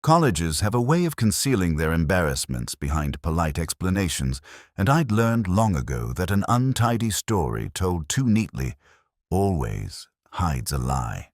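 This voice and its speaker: Brooding middle-aged detective, extremely low voice, expressive rise and fall, measured literary radio drama narration, wry, melancholic. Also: male voice, no echo, clean studio sound, full character voice. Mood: brooding, reflective. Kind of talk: scripted first-person radio drama narration.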